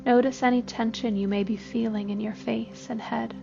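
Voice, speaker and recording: A woman gently leading a scripted guided body scan meditation, giving unhurried instructions as a therapist. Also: on the high side, fairly even intonation, creaky, muffled recording. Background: soft music.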